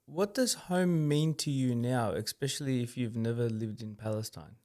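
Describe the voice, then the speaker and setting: A man's voice: medium pitch, moderate pace, a little mellow. A man hosting a podcast, asking questions in a conversational interview style.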